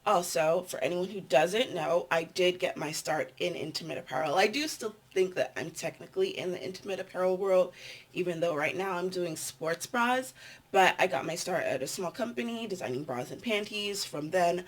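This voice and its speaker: A woman's voice: lowish voice, normal speed. Chatty nasal woman vlogger. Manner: chatty, meandering, with frequent ums and likes. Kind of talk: casual catch-up vlog.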